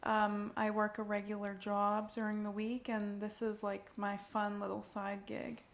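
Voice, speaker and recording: Giggly young woman with a gravelly fry. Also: medium pitch, monotone, a little slow, a little hiss or hum. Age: 20s. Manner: giggly, uses "like" and "um" a lot. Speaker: model and interview guest. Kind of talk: casual interview answers. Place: indoors at a rented home.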